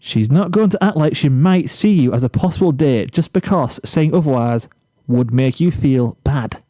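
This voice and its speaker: A man's voice: a little high, expressive, dark tone, no room sound, natural voice. Man as firm, exasperated teenage boy. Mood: firm, insistent. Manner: firm, emphatic, deliberate. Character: exasperated, blunt teenage boy lecturing a schoolmate. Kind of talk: storybook character dialogue.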